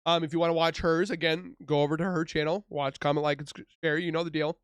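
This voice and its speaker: A woman's voice: sits low, steady tone, fast, dry recording. A woman giving casual reaction commentary, chatty and informal, often in short interjections. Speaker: reaction video host.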